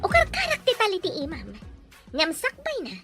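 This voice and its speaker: A woman's voice: very high-pitched, huge pitch swings, brisk, loud music behind the voice, full character voice. A woman playing a cruel, scheming mistress of the house, domineering and mocking, in an audio drama. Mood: cruel mockery. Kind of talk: melodramatic audio drama dialogue.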